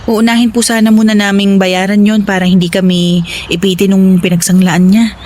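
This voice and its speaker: A woman's voice: medium pitch, little pitch movement, normal speed, a little mellow, lightly acted. An actress playing a polite, humble young wife and mother in a Filipino radio drama, speaking earnestly and deferentially. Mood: humble, hopeful. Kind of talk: Tagalog radio drama acting.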